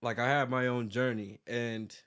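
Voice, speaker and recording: A man's voice: medium pitch, fairly even intonation, normal speed, a bit bright, clean tone. An American man in his thirties talking casually as an interview guest, rambling with lots of "like" and self-corrections. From United States, born in New York and raised in Virginia. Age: 30s. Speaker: interview guest, graphic designer and business owner.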